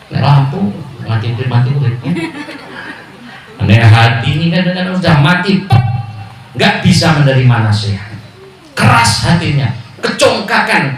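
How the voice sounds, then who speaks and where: Animated preacher with a Javanese accent. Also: male voice. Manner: animated, swings between loud calls and low asides, mixes in jokes. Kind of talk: sermon at a village religious gathering. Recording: echoey PA sound from far off.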